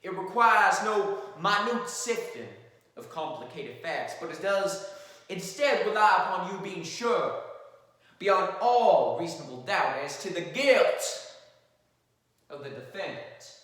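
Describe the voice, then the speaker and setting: A man playing an impassioned defense lawyer in a theatrical courtroom monologue, with emphatic oratory and dramatic pauses. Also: high voice, animated, sharp tone, heavily acted. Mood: measured, insistent. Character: impassioned male defense lawyer pleading to a jury. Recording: very echoey hall, far from the mic.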